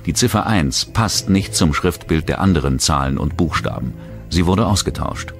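A man narrating a true-crime documentary in a measured, scripted delivery. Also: deep voice, animated. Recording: narration over loud music.

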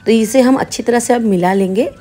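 A woman's voice: fairly high pitch, fairly quick, dark tone, soft background music. A woman hosting her cooking channel, patiently explaining each step of a home recipe.

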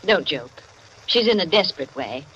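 Breezy, animated radio-drama society hostess. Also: female voice, low-pitched, moderate pace, a little hiss or hum. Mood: teasing, confiding. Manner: breezy, theatrical, bossy. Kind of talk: old-time radio drama acting. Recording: dull old radio, music and party crowd.

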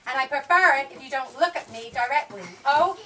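Domineering fashion queen, pompous, fairly high, distant microphone, noisy room. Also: a little fast, sharp tone. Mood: haughty. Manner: pompous, clipped commands. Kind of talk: live comic puppet show. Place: small indoor shop puppet show.

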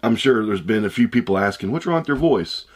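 A man in his thirties with a low voice gone hoarse, since he is losing it. He talks in a chatty, rambling, upbeat way while opening a box at a desk in an indoor room. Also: lively intonation, brisk. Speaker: unboxing channel host. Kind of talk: casual unboxing vlog. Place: room with shelves of shoe boxes, indoors.

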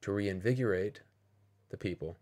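A man giving an opinionated video commentary, speaking in a measured, deliberate and persuasive way. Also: fairly low pitch, darkish tone.

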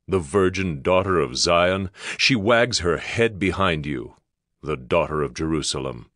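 A man's voice: sits low, a bit bright, no echo, clean studio sound. A man playing a stern prophet who solemnly pronounces divine judgment in a dramatized scripture recording. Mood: solemn, assured. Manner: solemn, declamatory.

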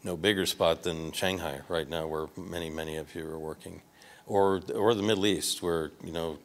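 Older Midwestern man, folksy and low. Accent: Midwestern American. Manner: conversational, folksy, trailing restarts. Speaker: award recipient speaker. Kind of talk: conference talk with audience Q&A. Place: large hotel ballroom stage. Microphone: handheld microphone at a podium.

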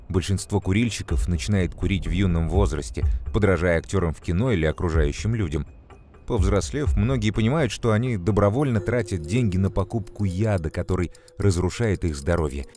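Deep-voiced cartoon mentor, calm and instructive. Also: male voice. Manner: measured, didactic, clearly enunciated. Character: calm, knowledgeable adult male mentor explaining to children. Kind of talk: educational children's cartoon explanation. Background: music.

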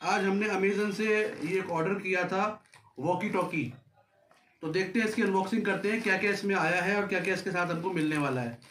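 A man with a fairly high voice presents a product in a casual, hands-on way. He is a few feet from the camera in a small room, with clear echo and faint noise behind him. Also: brisk, a bit bright. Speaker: vlogger presenting a product unboxing. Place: small furnished office room, indoors. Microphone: camera a few feet away across a desk.

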